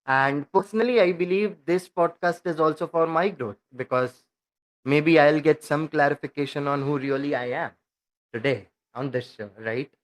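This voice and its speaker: A man hosting a podcast talks earnestly and eagerly. His voice sits a bit higher than most men's. His phrasing often circles back on itself. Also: moderate pace, poor audio. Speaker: podcast host.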